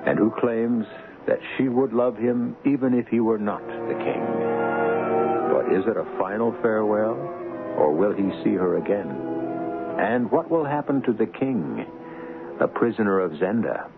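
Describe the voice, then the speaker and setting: A man hosting a radio drama, narrating from a script with deliberate, suspenseful phrasing. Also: lowish voice, creaky. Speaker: host and narrator. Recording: dull 1970s radio broadcast, music behind.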